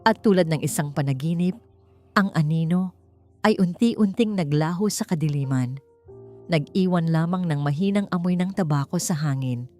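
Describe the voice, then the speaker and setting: Low-voiced Filipino woman narrating over music. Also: normal speed, warm tone. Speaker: audiobook narrator. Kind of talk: dramatic scripted story narration.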